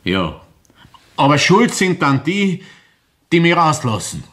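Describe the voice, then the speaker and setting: An actor playing an unrepentant murderer with an Austrian accent, brooding and blunt, in a radio crime drama. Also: male voice, a little high, very animated, slow pace, fairly bright tone, a little hiss or hum, heavily acted. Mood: sullen, defensive. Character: confessing murderer, hateful, unrepentant, self-excusing.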